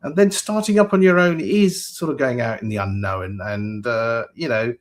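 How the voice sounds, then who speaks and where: A man's voice: medium pitch, normal speed. A man speaking as a panelist. He talks hesitantly and fills his speech with "you know". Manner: hesitant, heavy with fillers. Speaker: panelist, founder. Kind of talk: panel discussion.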